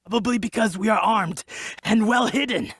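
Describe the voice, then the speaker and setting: An actor playing a defiant, foul-mouthed bandit survivor in a dramatic table read, husky and blunt in delivery. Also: mid-range voice, normal speed, fairly bright tone, no room sound. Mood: wary. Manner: blunt, emphatic, combative.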